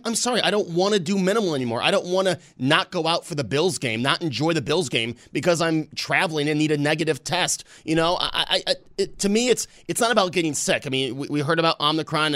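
A man's voice: a little high, pushed and bright. A man co-hosting a radio talk show, asking guests questions and speaking frankly with restarts. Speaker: radio host.

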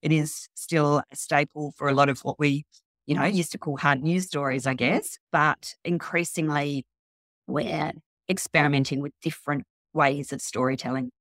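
A woman's voice: low voice, fairly even intonation, moderate pace, a bit bright, no room sound, clean studio sound. A woman journalist answering interview questions conversationally, explaining things with frequent asides and qualifications.

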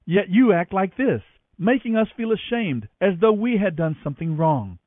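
A man's voice: high-pitched, lively intonation, moderate pace, pitched above the performer's own voice. Man playing a stern, scolding army commander. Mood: indignant, stern. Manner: forceful, scolding. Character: stern army commander rebuking his king. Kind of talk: dramatized scripture reading. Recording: radio broadcast with echo, narrow band, music behind.

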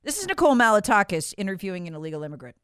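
A woman's voice: mid-range voice, very animated, rapid-fire, fairly bright tone, clear, resonant tone, no echo, clean studio sound. A woman hosting opinionated political commentary, speaking sarcastically and emphatically, repeating phrases for effect and acting out quotes in a conversational way.

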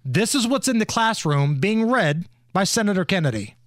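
A man's voice: high-pitched, very animated, normal speed, no room sound, studio quality. A man hosting a talk radio show with opinionated, emphatic commentary.

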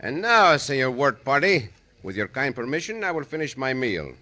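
An actor playing a suave, gallant, mocking Mexican bandit hero in an old radio western, courtly and teasing with a Mexican accent. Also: male voice, medium pitch, sing-song, moderate pace, sharp tone. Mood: polite menace. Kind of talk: 1950s radio western acting. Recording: noisy old radio recording with clicks.

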